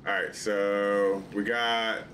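A man's voice: fairly low pitch, level tone, slow pace, fairly bright tone, a little hiss or hum, rough recording. A middle-aged American man giving casual, exasperated live gameplay commentary, poking fun at himself as he talks to viewers. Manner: exasperated, self-deprecating, talking to himself and viewers. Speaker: video game streamer. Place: home room, seated indoors.

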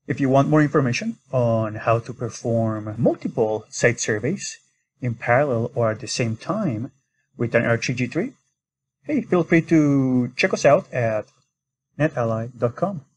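A man's voice: mid-range voice, lively intonation, a little slow, low-quality recording. A man narrating an instructional screen recording as a product demonstrator, explaining step by step in an informal way.

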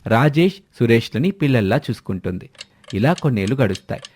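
Guy reading out a moral tale, soft mellow tone. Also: medium pitch, sing-song, fairly quick, slight background noise. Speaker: story narrator. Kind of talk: scripted moral-story narration.

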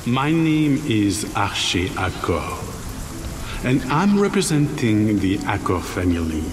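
An actor playing a formal gentleman pleading for help in a video game, theatrical and halting. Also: fairly low pitch, very animated. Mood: formal, imploring. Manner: theatrical, over-exaggerated, halting. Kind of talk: video game character dialogue. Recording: echoey reverberant game audio, background ambience.

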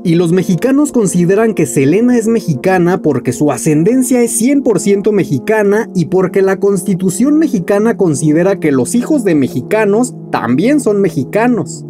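A man in his thirties hosting a music video, reading a scripted countdown narration with lively delivery. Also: high-pitched, normal speed, few pauses. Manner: lively, announcing countdown entries. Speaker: music journalist and channel host. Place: small room at home, indoors.

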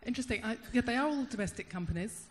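A woman in the audience at a panel discussion, sharing thoughtful comments into a handheld microphone, pausing and restarting as she searches for words. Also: a little high, expressive. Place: large conference hall. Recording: hall reverb, faint room hum.

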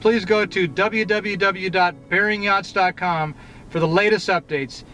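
A man's voice: high voice, steady tone, a little slow, distant mic, slight background noise. Upbeat man touring a yacht, roomy sound. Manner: enthusiastic, descriptive, louder out on deck. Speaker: yacht broker giving a boat tour. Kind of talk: scripted walkthrough presentation. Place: aboard a yacht, cabins and open deck. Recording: roomy echo, voice a bit off-mic, music underneath.

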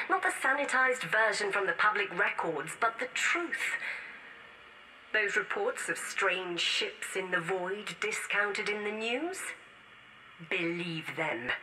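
An actress playing a defiant rebel woman leaving a grave final testament in a science-fiction game audio log, solemn, urgent and deliberate. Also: mid-range voice, bright, forceful tone, a little hiss or hum, poor audio.

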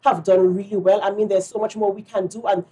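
A woman's voice: mid-range voice, level tone, quick pace, dark tone, breathy edge. A middle-aged woman hosting a morning TV interview in a studio, effusive and chatty with lots of affirming interjections. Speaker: morning show host.

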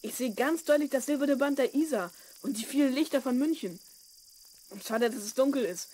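A woman playing a curious, excitable twelve-year-old boy in a children's audio drama, wide-eyed and eager. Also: high voice, normal speed. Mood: amazed. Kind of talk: 1970s German children's audio drama acting.

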